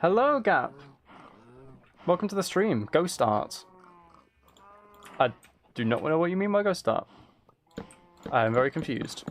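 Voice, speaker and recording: A man's voice: on the high side, big pitch swings, unhurried, pauses often, slight background noise. A man hosting a casual game livestream, muttering to himself as he plays, repeating phrases and chatting with viewers. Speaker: livestream host. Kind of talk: casual game stream chat, reading and answering viewer messages.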